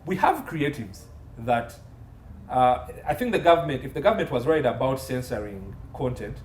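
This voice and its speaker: A man's voice: medium pitch, sing-song, moderate pace. Ugandan guy, legal expert in an interview, emphatic explainer, echoey room w/ a bit of noise. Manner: emphatic, listing points. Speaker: entertainment and media law consultant, interview guest. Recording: roomy echo, faint background noise.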